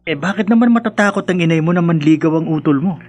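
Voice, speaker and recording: A man plays a nosy young housemate who likes to tease. His voice is fairly high and inquisitive, Filipino-accented, with clear music behind this radio drama performance. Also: fast, a little mellow, lightly acted. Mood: teasing, curious. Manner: teasing, inquisitive. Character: teasing, nosy young male boarding-house buddy. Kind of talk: horror radio drama acting.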